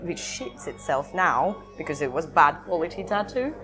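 A woman's voice: low voice, very animated, music in the background. A woman in her thirties, a native Russian speaker with a Russian accent touched by northern English, chatting candidly as a podcast guest and poking fun at herself. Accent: Russian, with some northern English. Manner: candid, self-mocking, with halting restarts. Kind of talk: casual chat.

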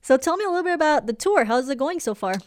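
A woman's voice: high-pitched, lively intonation, quick pace. Woman radio host asking questions. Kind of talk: radio interview. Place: radio studio.

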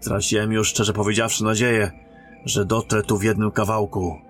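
A man's voice: fairly low pitch, little pitch movement, normal speed, fairly bright tone, faint music behind, close to an everyday voice. An actor playing a courteous male royal emissary and captain in a fantasy audio drama, speaking with formal military politeness. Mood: shaken.

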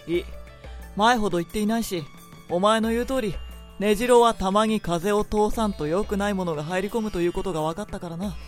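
A gruff, bossy young man, acted by a woman gives curt radio-drama lines at a middling pitch. He is short-tempered and brusque, and music plays behind him. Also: fairly quick, natural voice. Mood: calm, wry.

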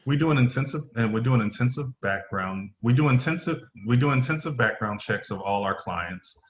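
A man playing a stern, formal middle-aged company executive in a play reading, halting and stumbling over his phrases. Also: medium pitch, expressive, a little hiss or hum, close to an everyday voice. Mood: formal, explaining. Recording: roomy echoing voice.

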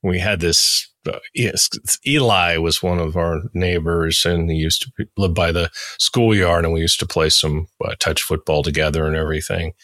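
A man in his sixties with a deep voice, chatting casually as a podcast host. He talks in a rambling, anecdotal way. The sound is dry and close, free of room echo. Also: fairly even intonation, moderate pace, pushed and bright. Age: 60s. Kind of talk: casual interview chat.

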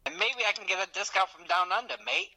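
A man's voice: high voice, fast talker, vocal fry, bright, forceful tone, exaggerated voice, rough recording. A man voicing a cheery, over-the-top Australian caller with a put-on Australian accent and lots of slang. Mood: jokey. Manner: hammy, slang-heavy. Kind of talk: comic phone-in bit.

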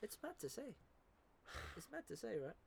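A man's voice: high voice, huge pitch swings, moderate pace, vocal fry, darkish tone, slightly rough. A young man from the Philippines in casual chat, slangy, swearing often and repeating words.

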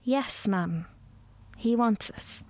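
A woman's voice: fairly high pitch, extremely slow, mellow, no room sound. A woman voicing a nervous, timid young woman about to marry, speaking meekly and eagerly.

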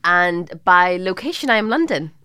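Northern Irish-Scottish woman, low clear voice. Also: very animated, normal speed, bright, forceful tone, dry recording, studio quality. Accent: Northern Irish mixed with Scottish. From Northern Ireland. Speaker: interview guest, fashion stylist and presenter. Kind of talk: casual conversational interview.

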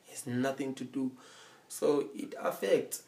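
A man speaking as a podcast guest in halting, earnest speech, often checking in with the listener. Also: mid-range voice, gravelly, darkish tone. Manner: halting, repetitive, checks in with "you understand?". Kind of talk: casual conversation.

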